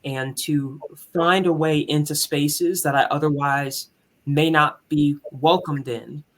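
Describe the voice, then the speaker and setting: A man with a higher tenor voice, speaking as a musician and conductor in an earnest, candid, reflective way in a conversational interview. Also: fairly even intonation, takes it slowly.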